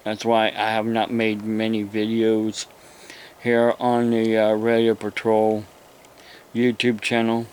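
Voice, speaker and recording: An American man hosting an informal, unscripted hobby vlog, talking in a halting, rambling way with long pauses. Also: on the low side, completely flat delivery, a little mellow, clean tone. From United States. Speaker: YouTube radio hobby host.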